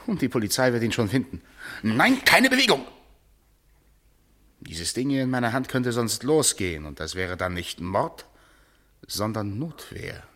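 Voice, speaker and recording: An actor playing a self-assured, coolly superior upper-class gentleman in a German radio play, speaking formally. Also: medium pitch, very animated, measured pace, pauses often, exaggerated voice. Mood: smug, then threatening.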